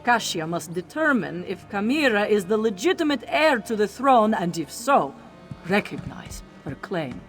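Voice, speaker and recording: A female cat-folk envoy from a fantasy video game. She is formal and dutiful, with measured phrasing and expressive movement in her pitch, over faint music. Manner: formal, refers to herself in third person. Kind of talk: video game character dialogue.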